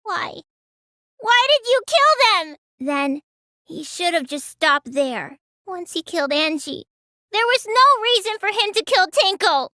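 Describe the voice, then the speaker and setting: A woman's voice: extremely high pitch, big pitch swings, unhurried, pushed and bright, no room sound, clean studio sound, exaggerated voice. A woman voicing a petite, stubborn teenage girl magician in an English anime game, with a languid, childlike, slightly pouty delivery. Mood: upset, questioning.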